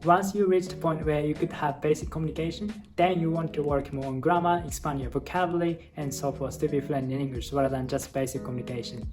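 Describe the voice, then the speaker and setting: A man's voice: fairly high pitch, fast talker, hardly any pauses, music in the background. A young man from Japan speaking English with a Japanese accent, giving earnest, encouraging advice to English learners as a channel host. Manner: earnest, encouraging, steady explanatory delivery. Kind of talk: casual advice talk to camera.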